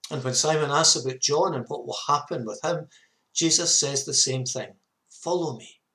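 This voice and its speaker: A man in his fifties, a minister giving a measured, earnest reflective sermon to camera in a small study. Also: on the high side, bright, forceful tone. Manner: measured, earnest, with short repeated phrases. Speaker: parish minister. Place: small home study, indoors.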